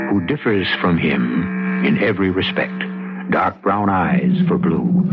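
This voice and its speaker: Male mystery host: low, swooping, portentous scripted narration, music behind, muffled old broadcast. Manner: dramatic, portentous, rhetorical.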